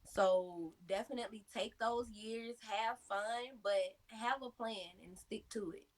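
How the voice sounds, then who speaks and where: A woman's voice: fairly high pitch, a little slow. Earnest motivational woman in her thirties. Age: 30s. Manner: earnest, motivational, filler-heavy. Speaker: interview guest, mother. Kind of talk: casual interview answers.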